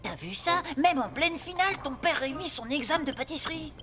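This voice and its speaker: A woman voicing a brainy, cautious young boy in an animated cartoon, earnest and easily alarmed. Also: high voice, brisk, loud music behind the voice. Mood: concerned.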